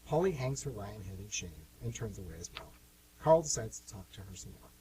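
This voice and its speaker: A man's voice: fairly low pitch, big pitch swings, brisk, mellow, rough recording. A man hosting and narrating a scripted amateur radio play. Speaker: host and narrator. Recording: amateur radio with clear hum noise.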